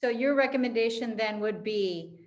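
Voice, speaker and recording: Woman moderator, voice a bit high, chatty and encouraging, sums things up for the panel. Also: normal speed, a little mellow, rough recording.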